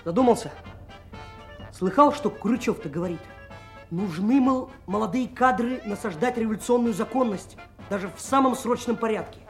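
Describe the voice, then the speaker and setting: Cocky young soviet trainee, high voice, chatty slangy talk, radio play with music underneath. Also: male voice, normal speed. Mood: eager, breezy. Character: brash, self-assured young Komsomol police trainee. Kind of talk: Soviet radio drama dialogue.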